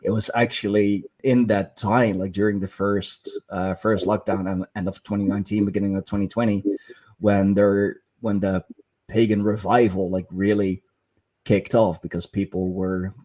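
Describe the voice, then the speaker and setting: A podcast host, speaking in a halting way and searching for words. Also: male voice, fairly low pitch, level tone, dark tone. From Netherlands. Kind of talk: casual interview chat.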